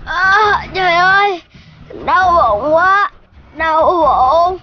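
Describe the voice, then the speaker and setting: A woman's voice: very high voice, very slow, muffled recording, heavy background noise, full character voice. A woman voicing a playful, dramatic young animal child in a children's cartoon, with a Southern Vietnamese accent and exaggerated childlike delivery. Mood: pained whining. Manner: exaggerated, childlike, whiny when upset. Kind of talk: children's cartoon dub acting. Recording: music behind the voice.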